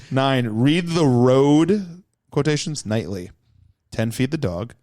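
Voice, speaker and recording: A man's voice: mid-range voice, lively intonation, takes it slowly, darkish tone. A man guesting on a comedy podcast, teasing the host, swearing and goading him. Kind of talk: casual comedy podcast banter.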